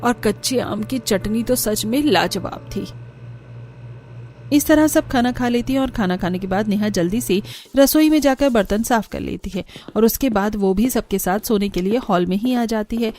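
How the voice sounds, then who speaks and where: High-voiced woman narrating a story. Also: normal speed, dark tone. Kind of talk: scripted animated storytelling narration. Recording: music under the voice.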